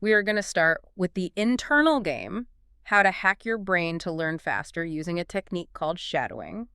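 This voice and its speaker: Woman co-host, low-ish smooth voice, very clean and dry sound, eager lead. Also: big pitch swings, moderate pace, sharp tone, no echo. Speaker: podcast co-host. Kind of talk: scripted podcast conversation.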